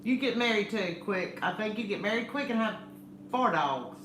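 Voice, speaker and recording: A middle-aged woman with a loud voice, chatting casually and teasing, laughing often. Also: medium pitch, animated, moderate pace, echoey room, slight background noise. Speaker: channel co-host. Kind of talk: casual chat.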